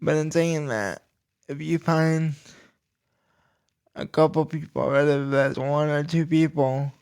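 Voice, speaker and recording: A young man talks slowly and deliberately on his podcast, often pausing and restarting a phrase. His voice is a touch higher than most men's and carries a gravelly fry. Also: a little mellow. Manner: slow, deliberate speech with pauses and restarts. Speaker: podcast host. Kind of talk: casual personal talk.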